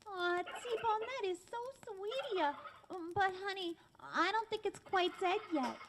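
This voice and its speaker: A brassy, bossy broad with a very high voice in a radio comedy. She talks in tough slang and swears freely, over clearly noisy sound. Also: unhurried. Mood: sweetly brassy. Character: brash, foul-mouthed woman sweetly bossing her monstrous lover. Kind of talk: old-time radio comedy acting. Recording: noisy recording.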